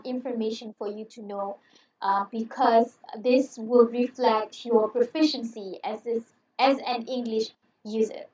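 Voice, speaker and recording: Malay-native woman lecturing in a roomy, dull recording. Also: fairly high pitch. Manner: friendly, chatty, reassuring with many fillers. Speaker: university English lecturer. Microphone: headset. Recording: roomy, distant, dull lo-fi sound.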